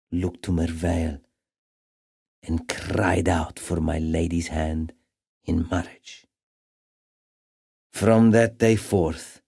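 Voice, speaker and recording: A battle-scarred gladiator champion in a dramatic video game monologue. His voice is very deep and husky, with a gravelly edge. He speaks slowly and intensely, brooding like a fighter hopelessly in love. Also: full character voice. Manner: slow, brooding, intense, hushed at times.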